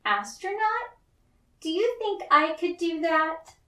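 A woman's voice: very high voice, big pitch swings, slow, sharp tone, voice pushed up, heavily acted. A woman voicing a hopeful, earnest, dreamy little girl in a children's storybook. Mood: eager wonder. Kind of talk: children's storybook read-aloud. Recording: roomy, echoey, distant mic.

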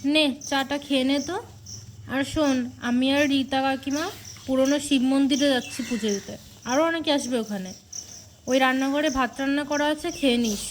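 A woman acting as a practical village mother in a cartoon. Her voice is high and homely as she instructs with care, over faint insect noise. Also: moderate pace, lightly acted. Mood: caring, brisk. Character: caring, practical village mother of grown son. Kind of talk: scripted cartoon dialogue.

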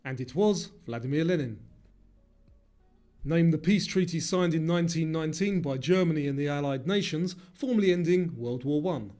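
A man narrating a scripted quiz, reading questions and answers in a measured, formal way. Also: a little high, mellow. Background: music.

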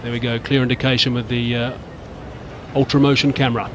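A man giving live television cricket commentary in a measured way, lifting sharply when a big moment comes. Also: mid-range voice, little pitch movement. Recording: broadcast commentary over noisy crowd ambience.

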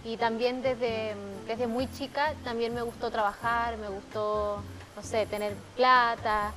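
A young woman with a somewhat high voice talks in a relaxed, chatty way about her start in work. She is miked on a studio sofa with faint music behind her. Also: slow. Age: young adult. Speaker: guest, salon partner. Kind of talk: television interview. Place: TV studio living-room set.

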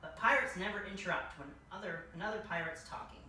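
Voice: medium pitch, fast. A young adult hosting a children's story time, reading a picture book aloud as a costumed king. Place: large furnished room indoors. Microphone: distant camera microphone. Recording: echoey room, distant mic, faint noise.

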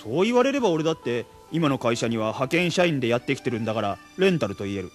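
Actor as wry, lively-pitched radio-drama salaryman. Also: fast, faint music behind, close to an everyday voice. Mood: dejected. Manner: wry, resigned, theatrical when upset. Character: hapless rueful salaryman sliding into drink and debt. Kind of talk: Japanese radio drama acting and first-person narration.

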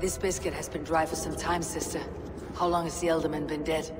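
Woman playing a low-voiced, stern Viking warrior woman. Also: flat delivery, lightly acted. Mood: grave, blunt. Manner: measured, blunt, commanding. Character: stern, battle-hardened Viking warrior woman, commanding and blunt. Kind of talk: video game cutscene acting. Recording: reverberant hall sound under music.

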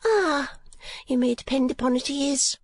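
A woman voicing a shrewd, chatty older female miniature painter in a high, gossipy, exclamatory voice. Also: lively intonation, normal speed, no room sound.

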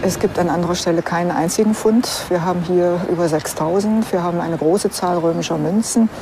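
A woman's voice: on the low side, brisk, over music. A woman explaining finds as an archaeologist in an unscripted documentary interview.

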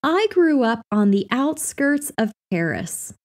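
A woman reading everyday practice phrases for an English lesson in a clear, carefully enunciated scripted delivery. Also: on the high side, sing-song, slow.

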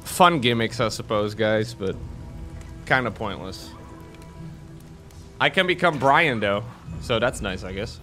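A man's voice: on the low side, lively intonation, measured pace, long pauses, sharp tone, faint music behind. A man streaming from home, bantering jokingly with chat while testing voice effects on a desk mic. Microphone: desk mic through an audio interface.

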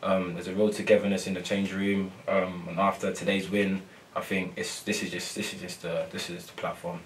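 Low, flat-voiced young footballer. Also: male voice, a little fast, distant mic, slight background noise, rough recording. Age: young adult. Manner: soft-spoken, many ums and repeated phrases. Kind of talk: post-match interview. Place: press room before a sponsor board.

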